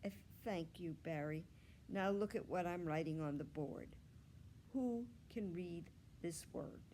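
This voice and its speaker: A woman's voice: on the low side, a little slow, warm tone, a little hiss or hum, lightly acted. A woman reading aloud as a patient, pleasant female primary school teacher, putting questions to a class in an instructive way. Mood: encouraging.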